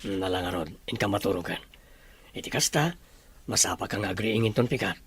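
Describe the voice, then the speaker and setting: A man playing a proud, gentle, middle-aged father in an Ilocano radio drama, speaking affectionately in a husky voice. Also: low-pitched, a little fast, slight background noise. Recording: music bed under the dialogue.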